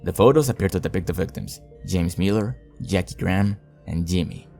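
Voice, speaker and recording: A man with a deep, low voice narrates a horror series as host of a review video. His delivery is scripted storytelling with casual commentary mixed in, over faint background music. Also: a little fast, gravelly, warm tone.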